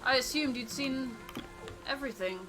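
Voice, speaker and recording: A woman's voice: sits high, moderate pace, music in the background, pitched above the performer's own voice, close to an everyday voice. Casting: woman as practical polar ship's first mate, matter-of-fact, cool under pressure, brief replies, for a narrative game. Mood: level, practical. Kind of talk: character voice acting in a game playthrough.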